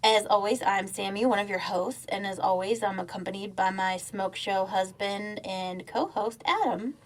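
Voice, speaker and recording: A woman's voice: medium pitch, normal speed. A woman co-hosting a podcast, chatting casually and teasing her co-host with frequent laughter. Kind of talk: casual chat.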